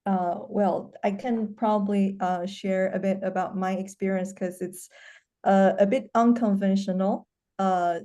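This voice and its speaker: A woman's voice: mid-range voice, mellow. A woman in her thirties, from China, speaking as a professor on an online alumni panel, hesitant and often restarting. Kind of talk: casual panel talk. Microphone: video call.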